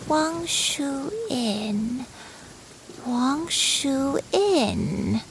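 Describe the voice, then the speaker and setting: Elderly granny, wistful, unhurried, high voice, dreamy, video game character, gentle. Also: female voice, expressive, faint music behind, heavily acted. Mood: wistful, remembering. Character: gentle, forgetful elderly grandmother.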